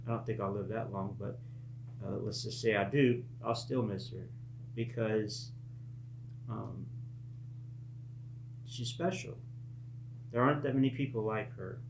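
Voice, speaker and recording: A man in his late thirties telling a personal story casually, in a halting, reflective and earnest way. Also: fairly low pitch, vocal fry. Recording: echoey room with faint hum.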